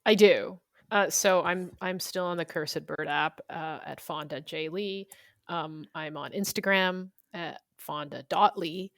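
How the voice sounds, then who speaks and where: A woman's voice: fairly low pitch, a little slow, dry recording, studio quality. A woman being interviewed as a guest author, talking in an informal, thoughtful way. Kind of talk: conversational interview.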